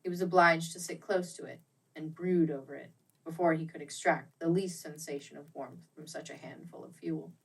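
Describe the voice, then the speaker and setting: A woman narrating a classic ghost story as an audiobook, with theatrical, expressive and deliberate phrasing. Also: deep voice, vocal fry, husky, distant mic, poor audio. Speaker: audiobook reader.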